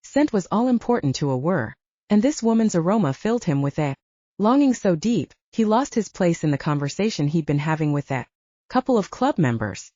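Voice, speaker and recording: A woman's voice: lowish voice, very animated, brisk, dark tone, no echo. A woman reading a scripted story as an audiobook narrator, in slightly dull audio.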